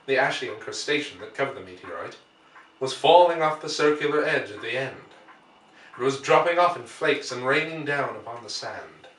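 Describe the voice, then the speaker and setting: A man reading a classic story aloud as scripted narration, with measured, deliberate phrasing. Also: fairly high pitch, a bit bright. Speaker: audiobook reader. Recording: roomy, distant microphone.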